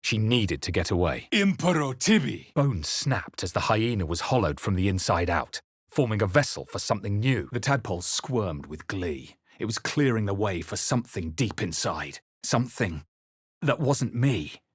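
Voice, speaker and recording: Low, husky fantasy adventurer. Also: male voice, very animated, normal speed, dry recording, clean studio sound. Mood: forceful incantation. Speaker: player character voice in a video game. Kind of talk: scripted game narration, barks and spell incantations.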